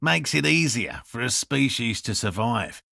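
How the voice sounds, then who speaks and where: Gravelly, earnest old cryptozoologist. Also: male voice, medium pitch, sing-song, measured pace, sharp tone, no echo, clean studio sound, heavily acted. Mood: earnest, scholarly. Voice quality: gravelly, raspy. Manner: pedantic, formal, earnest. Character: earnest, elderly male cryptozoologist, stubbornly hopeful, scholarly, devoted husband. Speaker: video game character. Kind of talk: video game character dialogue.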